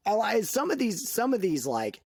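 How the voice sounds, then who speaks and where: A man co-hosting a podcast, talking in an opinionated, emphatic way that jumps up in pitch when he gets worked up. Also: huge pitch swings, normal speed, slightly rough, no room sound, clean studio sound. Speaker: podcast co-host. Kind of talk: casual chat.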